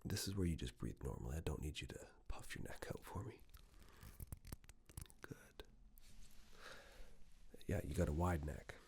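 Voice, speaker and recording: A man doing a soft-spoken ASMR tailor roleplay, breathy and whispered, with an unhurried, reassuring, slightly hesitant way of talking. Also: lively intonation. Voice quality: soft, breathy whisper mixed with low creaky murmur. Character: attentive male tailor, gently flattering and chatty. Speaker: ASMR performer playing a tailor.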